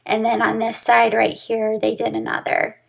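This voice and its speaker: A young woman with a raspy, dry throat after surgery, talking candidly to camera in a casual vlog, with frequent pauses and restarts. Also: fairly high pitch, monotone. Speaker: vlogger sharing her surgery recovery.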